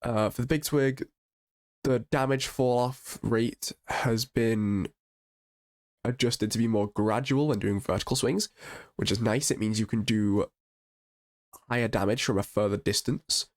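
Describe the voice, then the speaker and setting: A man with a croaky voice from being a little sick, casually reviewing game patch notes with upbeat enthusiasm and frequent restarts. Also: medium pitch, steady tone, unhurried. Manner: chatty and enthusiastic, with ums and restarts. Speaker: gaming channel host.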